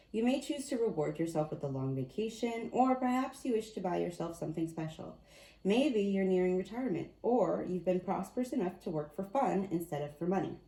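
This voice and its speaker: A woman with a slightly raspy voice giving a casual tarot card reading, chatty and often thinking aloud as she corrects herself. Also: mid-range voice, dark tone, roomy sound, poor audio.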